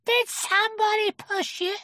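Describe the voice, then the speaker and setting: A reader voicing a tiny, eager baby male kangaroo in a children's storybook, childlike and squeaky. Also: fairly even intonation, slow, sharp tone, no echo, clean studio sound, full character voice. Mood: excited. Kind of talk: children's storybook character reading.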